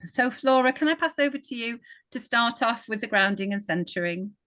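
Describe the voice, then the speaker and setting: A woman's voice: fairly high pitch, lively intonation, fairly quick, a bit bright, no echo. A woman from northwest England hosting an online ritual, passing the word around in an upbeat, chatty way. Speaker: ritual host. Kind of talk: online group introductions.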